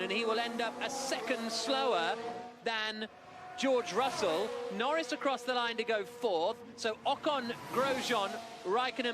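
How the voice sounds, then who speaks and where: Male live motorsport commentator, very high-pitched excited delivery with halting pauses, loud trackside broadcast sound behind. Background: racing car engines.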